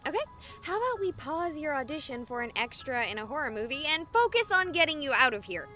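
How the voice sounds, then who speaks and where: A woman's voice: high-pitched, sing-song, brisk, pushed and bright, faint music behind, heavily acted. A woman voicing a sarcastic, exasperated young female streamer in a horror video game, wisecracking and dramatic. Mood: wary, impatient.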